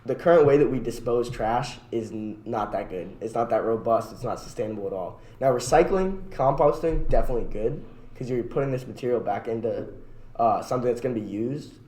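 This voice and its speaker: A man's voice: mid-range voice, brisk, warm tone. A man co-hosting a podcast, arguing his opinions in casual debate among friends. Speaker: podcast co-host. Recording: echoey room with background noise.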